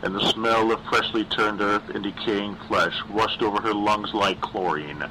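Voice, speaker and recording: A man narrates horror fiction in a lowish, bright and tense voice. His reading is scripted and dramatic, leaning on key words. There is faint noise behind him. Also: fairly even intonation, a little slow, poor audio. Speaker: audiobook narrator.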